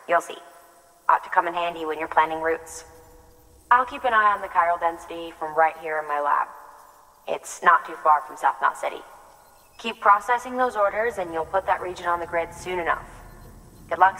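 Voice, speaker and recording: An actress playing a poised, encouraging scientist in a video game, explaining things with composure. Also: female voice, low-pitched, a bit bright. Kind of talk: video game cutscene acting. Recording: long hall reverb.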